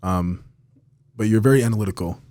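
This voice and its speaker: Guy hosting a chill podcast, deep mellow voice, dry close sound, keeps saying bro. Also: a little fast, dry recording. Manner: friendly, hype, says bro and dude. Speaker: podcast host. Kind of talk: casual interview chat.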